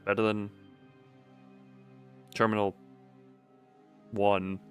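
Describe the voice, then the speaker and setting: A man's voice: fairly low pitch, little pitch movement, very slow, clear, resonant tone, soft background music. A man streaming a game with casual live commentary, rambling and correcting himself as he goes.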